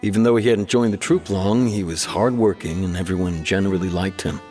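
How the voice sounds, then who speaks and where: Game guard officer, male actor: deep, frank, businesslike, matter-of-fact, light music underneath. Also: fairly even intonation, brisk, warm tone, natural voice. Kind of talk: video game voice acting.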